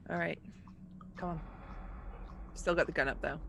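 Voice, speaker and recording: A woman's voice: lowish voice, fairly even intonation, moderate pace, vocal fry, background noise. A woman playing a tabletop roleplaying game, chatting casually and stating her character's actions.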